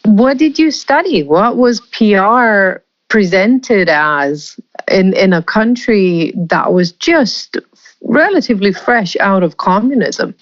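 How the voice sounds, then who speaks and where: A woman hosting an interview podcast, reading a scripted intro and then asking measured questions. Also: medium pitch, expressive, lo-fi sound. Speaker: podcast host.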